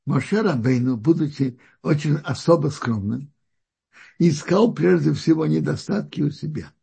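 A man teaching a Torah lesson with a deeply husky, gravelly voice. His tone sits a little high for a man yet sounds dark and mellow. He speaks haltingly, often breaking off and starting phrases over. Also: lively intonation. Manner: halting, searches for words with frequent restarts. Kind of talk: religious lesson.

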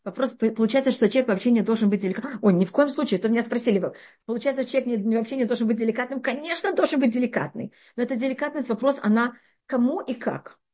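Brisk, high-pitched woman teaching Torah. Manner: animated explaining with frequent restarts and rhetorical questions. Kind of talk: interactive religious class.

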